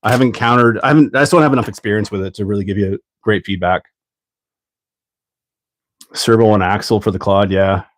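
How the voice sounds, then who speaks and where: A man from Canada with a lowish, congested voice chats loosely to his viewers, trailing into ums and little laughs. He sounds stuffed up from a cold. The sound is dry and close. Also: brisk, pauses often, no echo. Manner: rambling, relaxed, full of ums and laughs. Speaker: livestream host. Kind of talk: casual livestream chat while building.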